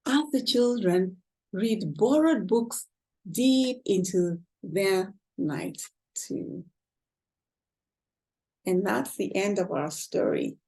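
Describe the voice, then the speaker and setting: A woman's voice: mid-range voice, expressive, drawn-out words, pauses often, warm tone, slightly rough, low-quality recording. A woman reading a picture book aloud to children, expressively and with questions to the listeners. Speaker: children's storyteller.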